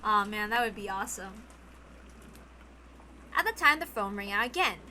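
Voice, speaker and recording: A woman's voice: mid-range voice, animated, normal speed, sharp tone, slight background noise. A woman streamer narrating a visual novel aloud, with casual asides.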